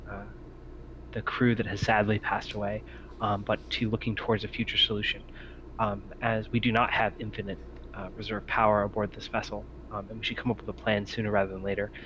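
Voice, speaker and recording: Man playing an evasive android, muffled and low. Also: fairly bright tone, lightly acted. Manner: formal, measured, hesitant phrasing. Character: evasive, impatient male android aboard a derelict spaceship. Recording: muffled lo-fi mic with faint noise.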